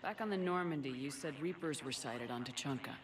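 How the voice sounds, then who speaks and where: A woman playing a steady, direct human military commander in a video game, asking questions in a businesslike way. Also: low voice, fairly quick, natural voice. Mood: probing, firm. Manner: businesslike, probing. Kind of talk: video game cutscene acting. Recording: slightly distant, faintly noisy game capture.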